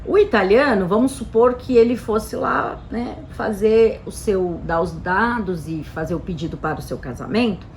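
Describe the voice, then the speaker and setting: Brazilian woman, mid-pitched, chatty explainer, light noise behind. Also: moderate pace, few pauses, poor audio. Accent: Brazilian Portuguese. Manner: chatty, frequent "né" and "tá" tags, animated emphasis. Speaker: Italian citizenship specialist presenting a channel. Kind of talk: casual explanatory talk to camera.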